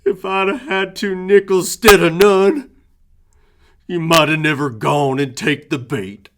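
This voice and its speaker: A man's voice: a little high, measured pace, pitched above the performer's own voice. A man voicing a grieving rural father who speaks in a folksy country dialect, his lament half a prayer.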